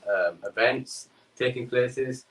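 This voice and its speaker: A man's voice: mid-range voice, slow, fairly bright tone. A man who runs a perfume business, answering questions hesitantly with many fillers, heard over a remote call. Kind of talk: guest answering interview questions. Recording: echoey and distant.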